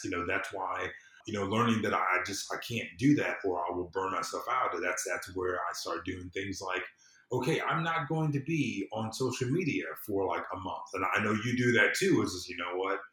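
A man's voice: on the low side, fairly bright tone. A man chatting as a podcast guest, earnest and emphatic, thinking aloud and repeating phrases as he goes. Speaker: podcast guest, civic empowerment consultant. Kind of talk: casual conversational interview. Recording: echoey room.

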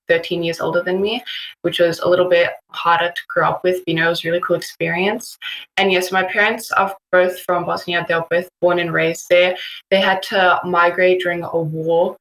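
A teenage girl with an Australian accent answers questions as a podcast guest. Her voice sits on the low side, and her talk is chatty and full of "like" fillers. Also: a bit bright, rough recording. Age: teens. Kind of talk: casual interview answers.

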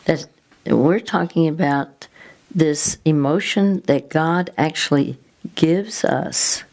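Slow-spoken low-voiced woman host. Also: a little mellow. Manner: slow, halting, searching for words. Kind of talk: faith-based conversation.

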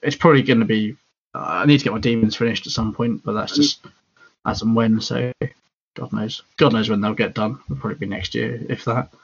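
Husky guy rambling casually about his hobby, dull lo-fi sound. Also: mid-range voice, steady tone, brisk. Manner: chatty and rambling. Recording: dull lo-fi audio.

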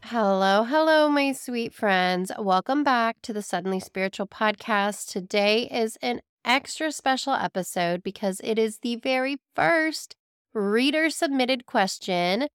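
A sick woman with a raspy, weak voice talks to her listeners in an earnest, soothing way. Her voice sits a little high, and the sound is close and dry. She is a psychic medium hosting a spiritual podcast. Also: animated, unhurried, no room sound, soft background music. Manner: earnest, gently reassuring, addresses listeners fondly. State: sick, hoarse. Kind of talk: casual spiritual podcast monologue.

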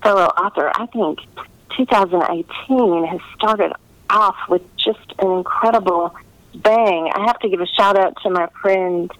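A woman's voice: lowish voice, a little slow, a bit bright, no echo, low-quality recording. A woman chatting casually as an author being interviewed, gracious and enthusiastic in the way she talks.

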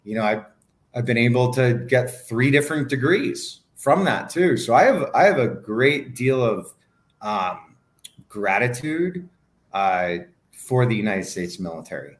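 A man's voice: mid-range voice, big pitch swings, measured pace. A middle-aged man from Hawaii, a guest in an interview, talking casually and rambling, with many fillers and restarts.

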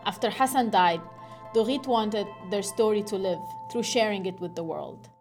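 Woman host, measured and gentle, mid-pitched, soft music underneath. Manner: measured, scripted intro then gentle questions. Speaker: podcast host. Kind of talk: podcast interview.

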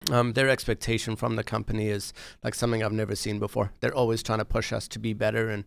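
A man's voice: fairly low pitch, level tone, vocal fry, smooth. A man around forty with a South African accent, a realtor chatting easily as a podcast guest. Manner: easygoing, agreeable, quick to laugh. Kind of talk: casual interview chat.

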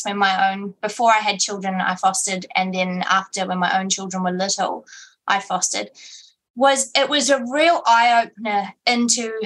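A woman from New Zealand answering radio interview questions in a thoughtful, measured way. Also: mid-range voice, a bit bright, rough recording. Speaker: interview guest, foster mother.

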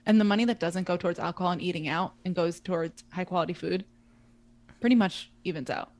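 A woman's voice: lowish voice, fast, darkish tone. Chatty young woman podcast co-host. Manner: chatty, filler-heavy, frequent "like". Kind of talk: casual chat.

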